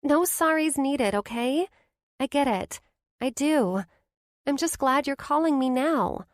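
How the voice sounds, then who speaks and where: A bubbly young woman's best friend, pitched noticeably high and lilting, as a narrator puts her on. She reassures in a soft, caring tone. The recording is close and dry. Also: expressive, unhurried, no room sound. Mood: compassionate. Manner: gushing then gently reassuring, lilting. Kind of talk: audiobook character voice.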